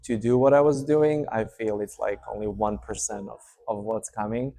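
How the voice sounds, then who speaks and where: A man in his thirties from Serbia with a Serbian accent, hosting his channel and talking straight to camera in a relaxed, unscripted way with many fillers. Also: on the low side, normal speed, gravelly. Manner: warm, hesitant, many fillers. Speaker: channel host. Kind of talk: casual unscripted update to camera. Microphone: speaking to camera.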